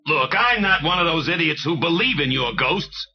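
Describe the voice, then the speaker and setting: A man's voice: on the high side, animated, moderate pace, highs cut off, close to an everyday voice. A man playing a bossy, stubborn government official, curt and scornful, in a 1980s read-along cassette story. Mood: scornful, commanding.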